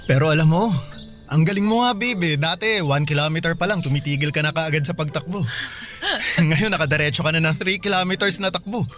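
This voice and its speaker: Young, caring boyfriend in Filipino radio drama; lightish high pitch, easygoing encouraging talk, music behind. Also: male voice, a little fast, pushed and bright. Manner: casual, eager, considerate. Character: young, attentive, encouraging boyfriend of an older woman.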